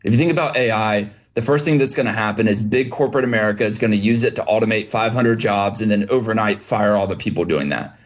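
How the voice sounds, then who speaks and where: A man's voice: lowish voice, fast talker, few pauses. A man as an interview guest, explaining his business in a fluent, conversational way with concrete examples. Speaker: guest, small business acquirer.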